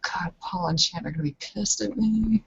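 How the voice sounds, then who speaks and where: A woman with a fairly low voice chats casually during a roleplaying game. She speaks as a player working through the rules. The sound is dry and a little dull, like a computer voice call. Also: a little fast, gravelly, slightly rough, dry recording.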